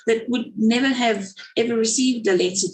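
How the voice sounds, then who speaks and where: A woman's voice: on the high side, normal speed, a little mellow, low-quality recording. South African woman chatting on a webinar. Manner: rambling, many fillers and restarts. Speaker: guest speaker, children's story writer. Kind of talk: casual webinar chat. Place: video call.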